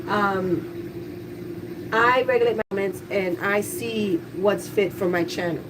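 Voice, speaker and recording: A congested woman chats openly with her viewers in a home livestream, her voice a little stuffy. She sits back from the mic in a kitchen, and a busy household's noise carries clearly behind her. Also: medium pitch, slow, far from the mic. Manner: chatty, candid, talks to viewers. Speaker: livestreaming YouTuber. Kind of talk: casual livestream chat.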